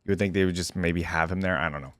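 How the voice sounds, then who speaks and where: A man gives casual reaction commentary in a lowish voice. He muses and hedges as he goes, recorded close and dry with no room sound. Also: steady tone, fast, a bit bright, no echo. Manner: musing, thinking aloud with hedges. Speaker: reaction video host.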